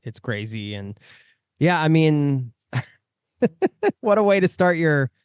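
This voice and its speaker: A man's voice: a little high, sing-song, takes it slowly, clear, resonant tone, no echo, clean studio sound. A man from the United States hosting a travel podcast, chatting casually and laughing often. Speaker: podcast host. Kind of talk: casual interview chat.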